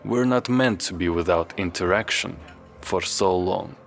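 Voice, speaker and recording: A man playing a reserved, solitary young therapist in an audio drama, speaking in a measured, formal, understated way. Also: deep voice, vocal fry. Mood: guarded, weary. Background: music.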